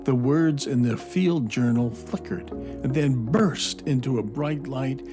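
A man narrating a scripted children's adventure story in a measured, dramatic storytelling manner. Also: medium pitch. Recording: narration over clear background music.

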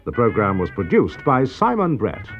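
A man's voice: on the low side, huge pitch swings, normal speed, lo-fi sound, soft background music. British male radio announcer, very varied intonation. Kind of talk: scripted credits announcement.

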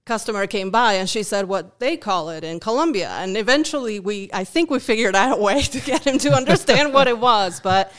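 A woman's voice: medium pitch, lively intonation, fairly quick, few pauses, a bit bright. A woman from Uruguay whose first language is Spanish, speaking English with a Uruguayan Spanish accent as a podcast guest, thoughtful, with many ums and restarts. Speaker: guest, food bank volunteer. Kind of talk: podcast interview.

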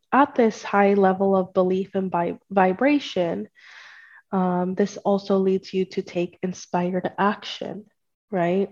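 A woman's voice: medium pitch, a little mellow. Woman podcast host with a stuffy, congested voice, chatty and reassuring, recorded on slightly dull lo-fi audio. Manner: chatty, often checking in with "right?" and "okay?". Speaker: podcast host and spiritual life coach. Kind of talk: casual solo podcast talk. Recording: dull lo-fi sound.

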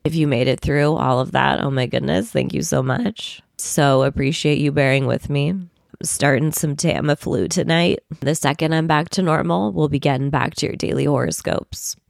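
A hoarse woman who has nearly lost her voice, hosting a solo astrology podcast and reading the day's horoscope in a chatty, friendly, informal way. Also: low-pitched, moderate pace, no room sound, studio quality.

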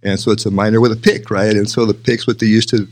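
A man's voice: fairly low pitch, little pitch movement, fast. An older man from Texas answering interview questions in a conversational, explanatory way, often checking in with "right?" and "you know". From El Paso, Texas, United States. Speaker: interview guest, city manager.

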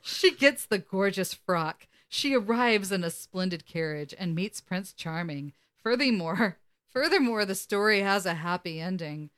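A woman's voice: mid-range voice, expressive, a little slow, fairly bright tone. A woman co-hosting a casual podcast, reading articles aloud and dropping in wry asides. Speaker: podcast co-host.

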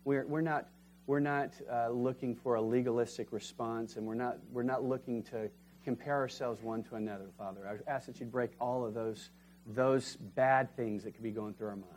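A man preaching a sermon as pastor and leading a closing prayer, fervent, swinging from emphatic peaks to hushed prayer. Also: medium pitch, fairly even intonation, normal speed, a little hiss or hum. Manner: fervent, rising to emphatic peaks then dropping to quiet prayer. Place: church sanctuary.

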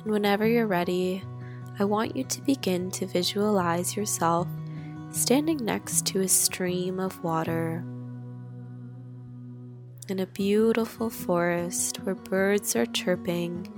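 A woman leading a guided meditation in a soothing, gentle way, with drawn-out phrases and pauses. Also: mid-range voice. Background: guitar music.

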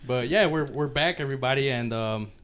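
A young man hosting a casual podcast among friends, relaxed, swearing casually and repeating his words. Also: mid-range voice, a little fast, a crowd in the background. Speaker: podcast host.

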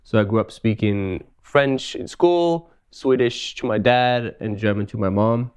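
A man from Switzerland, a guest telling stories in a relaxed, easygoing way on a casual podcast interview. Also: fairly low pitch, unhurried, smooth. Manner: relaxed, easygoing storytelling with frequent "you know". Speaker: podcast guest, live show dancer.

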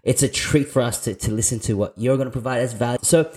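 An upbeat man from Australia hosts a podcast interview, often restarting his sentences. His voice is mellow and dark, at an everyday pitch for a man. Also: fast. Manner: enthusiastic, with restarts. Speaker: podcast host.

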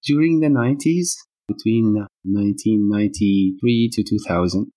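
A man's voice: on the low side, moderate pace, dark tone. A middle-aged man from Syria, a physician guest answering interview questions in a hesitant way with many fillers. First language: Arabic.

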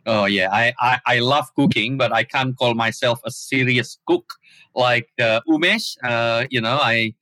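A man co-hosts the interview, chatting in an easygoing, self-deprecating way. His voice is bright and forceful, recorded close and dry with almost no room sound. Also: medium pitch, unhurried, dry recording. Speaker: co-host. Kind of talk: casual interview chat.

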